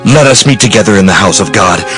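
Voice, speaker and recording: An actor playing a treacherous false prophet in a dramatized scripture reading, giving an urgent, sing-song warning. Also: male voice, fairly low pitch, expressive, quick pace, close to an everyday voice. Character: treacherous male false prophet urging someone to hide. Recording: music behind the voice.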